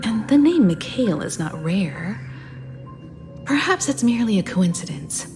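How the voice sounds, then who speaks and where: A woman playing a polite, measured young female travelling companion in a video game. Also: medium pitch, very animated, vocal fry. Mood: thoughtful. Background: background music.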